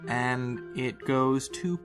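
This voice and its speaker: Male game master, conversational and playful, improvising narration over clearly audible music. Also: medium pitch, extremely slow. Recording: music bed behind the voice.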